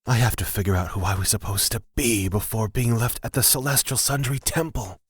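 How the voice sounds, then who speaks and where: A man's voice: lowish voice, a little fast, slightly rough, no room sound, soft background music. A man playing a humble, laid-back elf wanderer in a fantasy audio drama, reflective and probing. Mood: philosophical.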